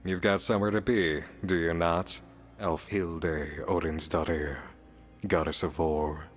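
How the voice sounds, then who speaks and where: An actor playing a sly, playful demon in a visual novel, teasing, cryptic and theatrical. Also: male voice, sits low, steady tone, a little slow. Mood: sly, composed. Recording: long reverb, music behind.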